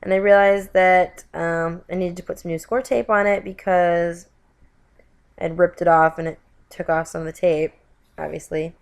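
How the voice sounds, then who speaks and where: A woman's voice: on the low side, fairly even intonation, normal speed, gravelly, smooth. Chatty woman with a stuffy cold voice. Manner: chatty, with frequent self-corrections and "you guys". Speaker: craft video host. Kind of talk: voiceover narration of a card-making tutorial.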